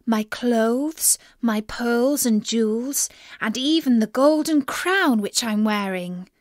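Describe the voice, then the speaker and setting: A woman's voice: on the high side, slow pace, no room sound, studio quality. A woman voicing a spoilt young fairy tale princess who speaks in exclaiming, old-fashioned phrases. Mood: eager promising.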